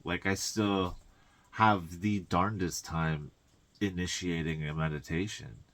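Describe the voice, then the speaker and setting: Very deep-voiced man giving spiritual guidance. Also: fairly even intonation, takes it slowly, a bit bright. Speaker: tarot reader and spiritual guide. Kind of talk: informal spiritual coaching monologue.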